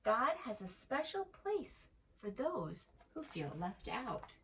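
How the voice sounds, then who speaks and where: A kindly old storybook cow, voiced by a woman reading aloud, speaks softly and soothingly. The words come slowly, with tender, friendly care. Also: fairly high pitch, very animated, far from the mic, a little hiss or hum, voice pushed up. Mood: tender, comforting. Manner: soft, soothing. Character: kind, friendly old cow comforting a lamb.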